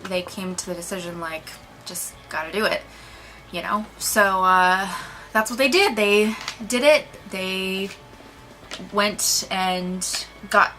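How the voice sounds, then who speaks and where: A woman's voice: mid-range voice, takes it slowly, sharp tone, soft background music, low-quality recording. A woman co-hosting a movie review, talking in a casual, chatty way.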